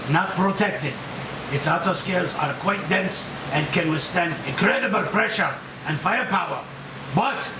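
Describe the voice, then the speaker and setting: A man playing a blunt, technical animal expert briefing a dangerous mission in a screenplay table read. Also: on the high side. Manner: punctuates explanations with "Oi!". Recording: roomy, distant mic, clear background hiss.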